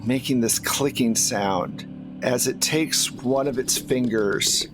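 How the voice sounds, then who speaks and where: A man's voice: medium pitch, takes it slowly. A man running a tabletop roleplaying game as game master, narrating scenes descriptively and conversationally. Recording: faint background ambient noise.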